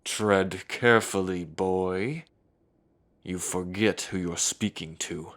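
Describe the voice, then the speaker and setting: A man's voice: low-pitched, fairly even intonation, slow pace, a bit bright. A man playing a scornful werewolf elder. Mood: threatening. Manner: cold, contemptuous, threatening. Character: hostile proud male werewolf council elder. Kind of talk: audio drama acting.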